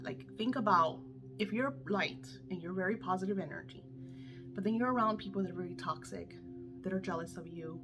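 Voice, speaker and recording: Candid, swearing woman coach over music. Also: mid-range voice. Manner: candid and emphatic, swears freely. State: losing her voice. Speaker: manifestation coach. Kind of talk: casual unscripted talk to viewers.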